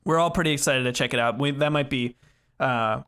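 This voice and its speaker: A man's voice: mid-range voice, fairly quick, pushed and bright, smooth. A man hosting a casual gaming podcast, chatting in a jokey, loose way. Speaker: podcast host. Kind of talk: casual podcast chat about video games.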